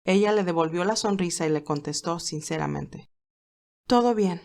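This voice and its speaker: A woman's voice: fairly low pitch, fairly quick, gravelly. A woman narrator reading a scripted romantic story.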